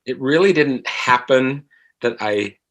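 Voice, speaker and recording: A middle-aged man, an actor being interviewed, speaks in a halting, thoughtful way and often repeats his phrases. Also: mid-range voice. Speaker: actor guest. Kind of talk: reflective interview conversation.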